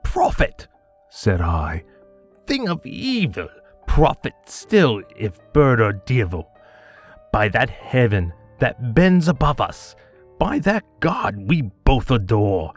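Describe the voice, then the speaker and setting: A man voice actor giving a theatrical poetry reading, swinging between hushed murmurs and anguished cries. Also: medium pitch, wildly swooping pitch, slow, soft background music. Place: home studio.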